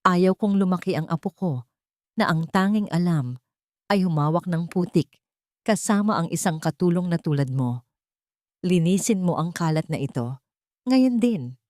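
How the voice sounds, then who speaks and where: A woman's voice: lowish voice, animated, normal speed, long pauses, a little mellow, dry recording, studio quality, lightly acted. A woman voicing a cruel, contemptuous elderly rich matriarch in a dramatic audiobook, speaking in a cold, commanding way. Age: older. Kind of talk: dramatic audiobook character reading.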